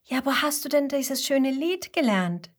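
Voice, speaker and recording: A woman voicing a gentle, curious mother koala in a children's story, questioning with astonishment. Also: high voice, expressive, a little slow, pitched above the performer's own voice. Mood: astonished.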